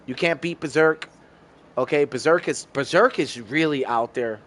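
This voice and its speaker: A man's voice: fairly high pitch, normal speed, a bit bright. A man in his thirties streaming a game, chatting loosely with viewers, calling them bro and swearing freely. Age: 30s. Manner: loose and profane, talks to chat as bro. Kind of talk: casual live-stream chat.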